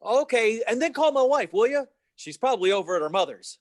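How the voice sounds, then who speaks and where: A man acts out a flustered young family man in a vintage radio play. His voice is high and swings widely, stammering earnestly through folksy exclamations. The sound is dry and close. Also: big pitch swings, brisk, dry recording. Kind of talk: old-time radio play acting.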